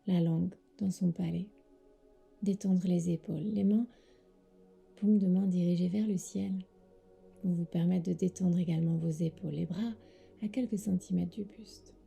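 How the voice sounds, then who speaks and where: A woman with a soft, breathy voice leads a guided sleep meditation as a yoga teacher, giving gentle, soothing instructions with pauses. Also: fairly low pitch.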